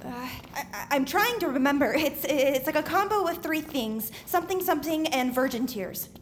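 A woman's voice: high voice, animated, normal speed, slight background noise. A woman playing a defensive, geeky teenage girl in an audio drama, hesitant and prone to stammering. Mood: flustered.

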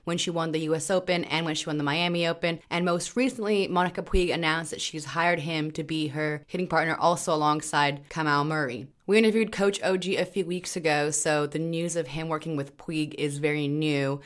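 A woman hosting a podcast, smoothly introducing a guest and asking questions. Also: low-pitched, fairly even intonation, fairly quick, hardly any pauses. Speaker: podcast host.

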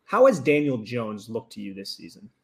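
Easygoing podcast co-host. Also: male voice, medium pitch, sing-song, normal speed. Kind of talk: casual podcast talk.

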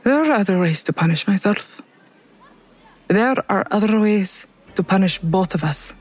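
A woman's voice: mid-range voice, expressive, normal speed, a little mellow, lightly acted. A woman playing a bitter, guarded coworker in an audio drama, terse and resigned.

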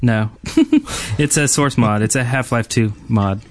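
A man's voice: medium pitch, slow. A man from the United States chatting casually as co-host of a gaming podcast.

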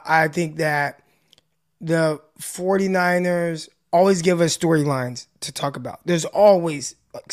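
A man with a raspy, somewhat high voice co-hosts a sports podcast. He speaks in a casual, conversational way.

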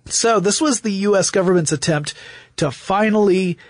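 A man's voice: sits high, moderate pace, dry recording. An American man co-hosting a podcast, explaining history conversationally in an emphatic storytelling way. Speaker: podcast co-host.

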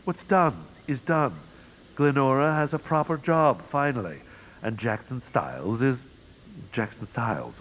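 A man's voice: mid-range voice, expressive, a little slow, close to an everyday voice, poor audio. A man playing a curt, haughty, dismissive gatekeeper in a family audio comedy. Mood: cold, resigned. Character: curt, dismissive gatekeeper answering a villain's door.

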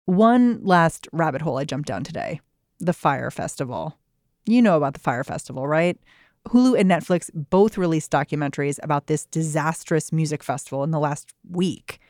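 Brisk woman hosting a podcast, dry studio. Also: low-pitched, expressive, darkish tone, dry recording. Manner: brisk, clear storytelling delivery. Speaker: podcast host. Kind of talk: scripted news podcast narration.

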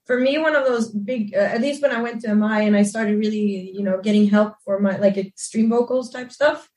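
A woman singer with a Swedish accent and a naturally raspy voice, chatting candidly about looking after her voice. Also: mid-range voice, brisk, darkish tone, distant mic. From Sweden. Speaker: singer, guest. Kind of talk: casual conversation.

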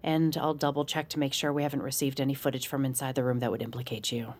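A woman's voice: very deep voice, flat delivery, quick pace, darkish tone. A woman playing a protective, no-nonsense law enforcement sister in an audio drama, blunt and scolding, with an American accent. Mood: firm concern. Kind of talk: naturalistic audio drama acting.

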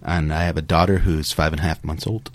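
A man in his thirties speaks as a podcast guest. He is relaxed and thoughtful, with a very low voice. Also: brisk. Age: 30s. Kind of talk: casual panel discussion.

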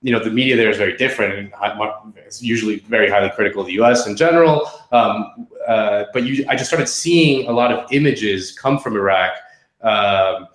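A man in his thirties chatting casually and telling anecdotes as a podcast guest, in a halting, self-mocking way full of fillers. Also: fairly low pitch, a bit bright. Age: 30s. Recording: roomy echo.

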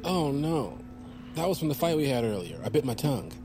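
Medium-pitched man, cocky sidekick, wisecracking, breezy, audio drama, music behind. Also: very animated. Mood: weary. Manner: joking, breezy, drawn-out one-liners. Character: wisecracking, cocky male sidekick, weary but game.

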